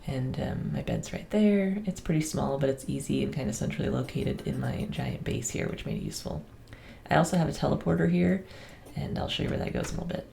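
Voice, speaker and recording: A woman with a raspy voice, getting over a cold, casually touring her game world in a relaxed, chatty voice-over with soft ums. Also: extremely low pitch, brisk, a little hiss or hum. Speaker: gaming channel host.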